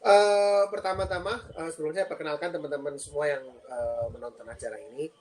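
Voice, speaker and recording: A man in his thirties from Indonesia, a guest on a live-streamed interview, explaining things earnestly with frequent pauses. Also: on the high side, steady tone, darkish tone. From Lampung, Indonesia. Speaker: guest, PhD student and lecturer.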